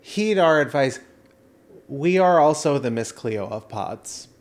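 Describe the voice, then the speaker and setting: A man's voice: a little high, expressive, takes it slowly. A man co-hosting a casual comedy podcast, chatting with tongue-in-cheek, mock-grand pronouncements. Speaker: podcast co-host.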